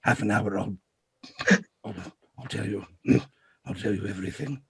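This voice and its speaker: A man voicing a sleepy, doddering old librarian who halts and clears his throat. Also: fairly low pitch, fairly bright tone, no echo, exaggerated voice. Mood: drowsy, faltering. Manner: halting, mumbling, throat-clearing.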